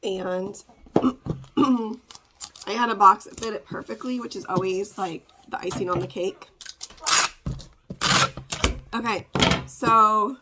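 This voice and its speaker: A woman with a congested, raspy voice, chatting casually as she packs orders to ship at home, rambling with lots of fillers. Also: mid-range voice, background noise. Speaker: part-time online reseller. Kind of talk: casual ship-with-me vlog chat.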